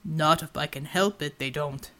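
A woman voicing a strong, calm male field chief in an audiobook, with a measured, dry and understated way of talking. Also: low-pitched, pitched below the performer's own voice. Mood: wry, modest.

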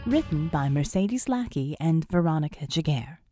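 A woman's voice: deep voice, animated, normal speed, dark tone, soft background music. A woman reading scripted fiction expressively as an audiobook narrator.

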